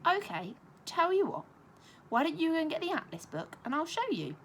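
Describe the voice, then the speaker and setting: A patient, affectionate mother in a children's storybook, voiced by a woman reading aloud. She talks softly and reassuringly, her lively pitch rising and falling, with faint ambience underneath. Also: normal speed, a little hiss or hum, exaggerated voice. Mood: gentle.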